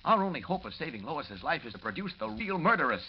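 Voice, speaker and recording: Urgent earnest newsman in old radio serial, talks quick, highish voice, muffled. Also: sing-song, breathy edge. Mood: urgent, insistent. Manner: urgent, pleading, persuasive. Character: earnest, insistent male newspaper reporter. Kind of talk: 1940s radio acting. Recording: dull old radio recording.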